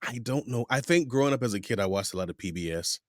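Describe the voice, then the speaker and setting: A middle-aged man from the United States hosting a podcast, telling stories in a rambling, animated way. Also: mid-range voice, quick pace, dry recording, studio quality. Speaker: podcast host. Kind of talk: casual interview chat.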